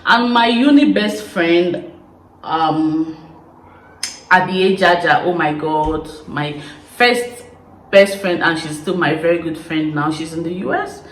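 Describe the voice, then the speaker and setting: A young woman from Nigeria with an Igbo accent, casually telling stories to camera in an expressive, chatty way. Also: on the low side, slow, roomy sound, slight background noise, low-quality recording. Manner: chatty, expressive, laughing storyteller. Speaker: YouTuber. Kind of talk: casual confessional chat to camera.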